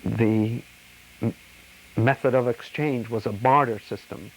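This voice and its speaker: An older man from Slovakia, recounting his life in a measured, slightly formal way. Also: mid-range voice, a little hiss or hum, low-quality recording. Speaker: interviewee. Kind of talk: personal oral-history reminiscence.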